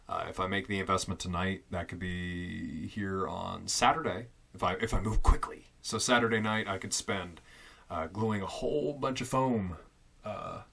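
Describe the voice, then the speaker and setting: A young man talking casually and loudly into a fixed studio mic in a small foam-lined closet, rambling with fillers and amused asides. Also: medium pitch. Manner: loud, chatty and self-amused, with many fillers and restarts. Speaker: vlogger testing a home studio. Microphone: fixed studio condenser mic on a stand.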